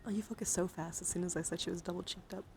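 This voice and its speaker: A woman livestream host speaking in a soothing, mostly whispered ASMR style, breathy and casual, with playful encouragement. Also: fairly low pitch, steady tone, rapid-fire, over music. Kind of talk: whispered ASMR card reading with casual chat.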